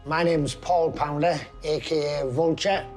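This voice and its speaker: A man's voice: a little high, fairly even intonation, a little slow, darkish tone. A man with a northeast English accent, talking bluntly and with swearing in a documentary interview. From northeast England. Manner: blunt, profane. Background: music.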